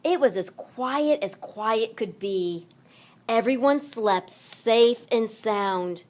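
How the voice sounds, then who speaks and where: A woman narrating a children's read-along story aloud in an expressive, deliberate manner. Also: fairly high pitch. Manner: deliberate, animated delivery for children.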